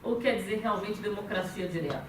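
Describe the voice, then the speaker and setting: A woman with a Brazilian accent speaks at a meeting, thinking aloud and restarting phrases. She sounds far from the mic in an echoey room, with steady background noise. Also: medium pitch, fairly quick. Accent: Brazilian Portuguese. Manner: thoughtful, many hesitant fillers and restarts. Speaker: conference speaker. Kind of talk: academic talk at a meeting.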